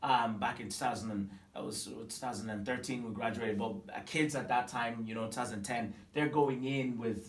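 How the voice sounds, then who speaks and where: A man in his twenties from Tanzania, telling his story in a casual interview, animated and full of fillers and restarts. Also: mid-range voice, fairly quick, hardly any pauses. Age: 20s. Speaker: business owner interviewed. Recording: echoey room, mic at a distance, faint ambience.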